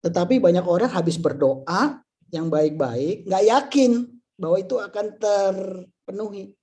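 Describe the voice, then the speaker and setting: A man's voice: sits high, measured pace, darkish tone. A man of about fifty with an Indonesian accent gives an informal motivational talk, conversational and full of tag phrases and rhetorical questions. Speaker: insurance agency leader and motivational speaker.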